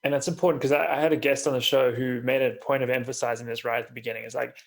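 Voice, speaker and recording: A man hosting a podcast in a casual interview style, thinking aloud informally in halting, restarted phrases. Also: medium pitch, steady tone, vocal fry. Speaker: podcast host.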